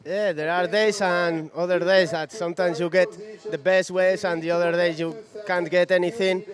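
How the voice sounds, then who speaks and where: A man's voice: high voice, little pitch movement. Young male athlete, broken English, outdoor interview with a crowd audible. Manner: halting non-native English, soft-spoken. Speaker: competitor being interviewed. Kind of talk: post-heat interview. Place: outdoor beach event interview backdrop. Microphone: handheld mic held by interviewer.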